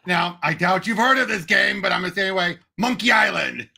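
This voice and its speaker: High-voiced, gravelly man in casual debate. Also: lively intonation, fairly quick, pushed and bright. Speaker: podcast co-host. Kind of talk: casual film and game discussion.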